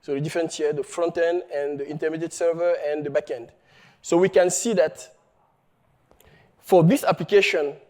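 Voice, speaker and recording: A man from Cameroon, giving a conference talk on his research, halting often and checking in with the audience. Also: a little high, a little mellow. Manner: halting, many fillers and restarts, checks in with "okay?". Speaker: researcher presenting a paper. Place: conference hall.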